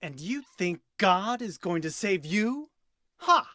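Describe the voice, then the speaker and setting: Casting: sly worldly cultist for a game cutscene, theatrical, smooth-tongued, unhurried, very animated pitch. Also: male voice, no echo, studio quality, heavily acted. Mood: mocking. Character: sly, smug, worldly man of a religious cult. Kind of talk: video game cutscene acting. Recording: music under the voice. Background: loud music.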